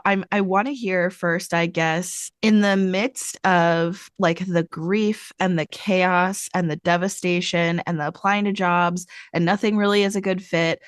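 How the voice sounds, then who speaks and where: A woman's voice: mid-range voice, dry recording, clean studio sound. A woman hosting a podcast, talking in an upbeat conversational way with frequent "like". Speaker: podcast host. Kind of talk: podcast interview.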